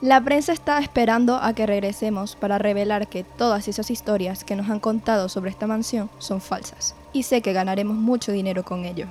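A woman's voice: mid-range voice, fairly even intonation, fairly quick, few pauses, darkish tone, slight background noise, close to an everyday voice. A woman playing a determined young leader of a paranormal investigation in a horror audio drama, composed and assertive like a presenter.

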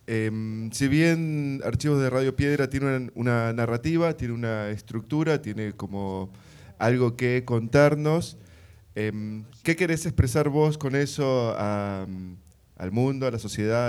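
A man's voice: medium pitch, measured pace, darkish tone, clear, resonant tone. A man with an Argentine Spanish accent hosting a live radio interview.

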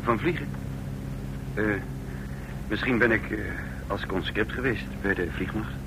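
An actor playing a subdued, hesitant kidnap captive in a radio play, speaking politely. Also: male voice, lowish voice, measured pace, close to an everyday voice. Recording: noisy old radio drama recording.

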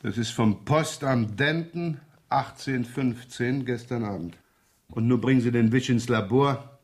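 A man acts a middle-aged police inspector in a 1970s German radio crime play. His voice sits at an ordinary male pitch. He probes with dry sarcasm and a pretence of being scatterbrained. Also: a little slow, close to an everyday voice. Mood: brisk, wry. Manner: feigned absent-mindedness, dry sarcasm, probing.

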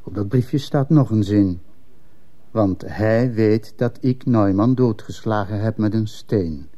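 Radio drama: stern male commissioner, very dark lowish voice, formal and probing. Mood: accusing, grave. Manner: formal, measured interrogation. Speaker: actor in a radio play. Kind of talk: Dutch-language radio drama acting.

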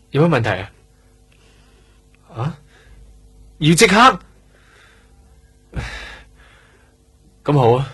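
A man with a Hong Kong accent playing an earnest, curious young trainee doctor in a radio drama, explaining things in a measured way. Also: medium pitch, fairly bright tone, slightly rough, dry recording. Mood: businesslike, polite. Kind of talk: Cantonese radio drama acting, explanatory dialogue.

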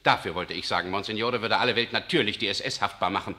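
An actor playing a measured, calculating churchman in a 1960s radio drama, reasoning calmly. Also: fairly low pitch.

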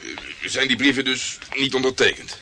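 A man's voice: mid-range voice, normal speed, noisy background, natural voice. An actor playing a brash, self-important hard-boiled male private detective in a radio play, blustering and condescending. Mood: cocky.

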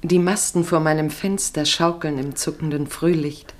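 A low-pitched female narrator from a 1950s radio feature. She tells a literary travel story in the first person, declaiming it carefully and poetically. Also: steady tone, normal speed.

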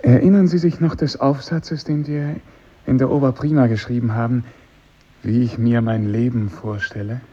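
A man's voice: medium pitch, fairly even intonation, moderate pace, warm tone, a little hiss or hum, lightly acted. An actor playing a mentally disturbed, intense man with a formal, probing manner in a 1950s German radio play. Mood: eerie, probing. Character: mentally disturbed former pupil, eerie, intense, easily affronted. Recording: dull vintage radio recording.

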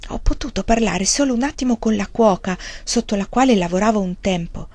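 A woman reading the part of a quiet, patient young peasant wife who speaks calmly and evenly. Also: medium pitch, dry recording, natural voice. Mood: reporting. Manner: calm, measured.

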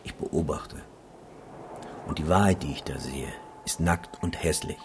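An actor playing a cynical, bleak photographer and disaster witness in a radio drama, sardonic and matter-of-fact. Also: male voice, a bass voice, measured pace, background noise. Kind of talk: radio drama monologue and dialogue.

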